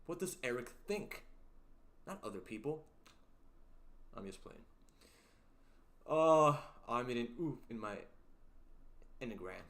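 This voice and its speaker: A young man hosting a casual livestream, reading chat aloud and joking around, with a deep voice. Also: animated, takes it slowly, pauses often, a bit bright. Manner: playful, jokey, sings snippets and reads chat aloud. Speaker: livestream host.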